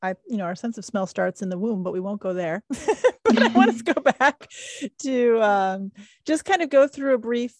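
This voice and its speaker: A woman's voice: mid-range voice, normal speed, a little mellow, no room sound. A middle-aged woman hosting a podcast, reading a scripted intro and then chatting with a guest in an upbeat, enthusiastic way. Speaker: podcast host.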